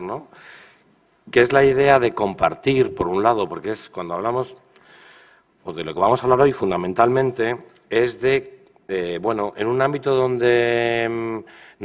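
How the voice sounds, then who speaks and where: Slightly hoarse Castilian man in a reverberant hall. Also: on the low side. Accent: Castilian Spanish. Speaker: official opening the event. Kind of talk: opening speech at a conference.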